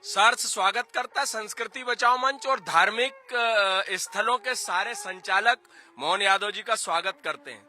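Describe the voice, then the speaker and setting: A man's voice: high-pitched, moderate pace, pushed and bright. A man arguing emphatically in a street interview, repeating himself and asking rhetorical questions. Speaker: activist spokesman for a cultural-religious organisation. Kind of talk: street news interview, opinionated argument.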